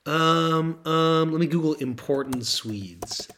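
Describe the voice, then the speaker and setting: A man's voice: fairly high pitch, slow, fairly bright tone. Rambling young man on a handheld mic. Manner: rambling, joking, trails off mid-thought. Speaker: podcast co-host. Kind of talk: casual comedic chat. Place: in France, apart from co-host. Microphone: handheld microphone.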